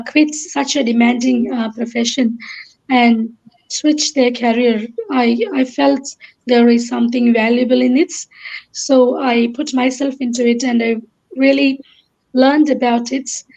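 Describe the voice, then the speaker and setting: A woman in her thirties from Kerala, India, speaking as a guest in an online interview, pausing often with ums and uhs. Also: a little high, gravelly, darkish tone, muffled recording. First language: Malayalam. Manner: hesitant, many ums and uhs.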